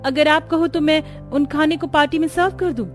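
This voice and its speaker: A woman's voice: sits high, steady tone, a little fast, faint music behind, voice pushed up, lightly acted. A woman voicing a dutiful, traditional young sister-in-law with a polite, deferential manner. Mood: earnest.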